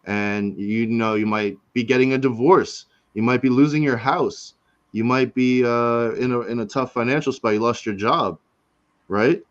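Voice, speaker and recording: Man in his forties, casual host, rambling and laid-back, dull lo-fi sound, mid pitch. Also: moderate pace. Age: 40s. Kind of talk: casual chat.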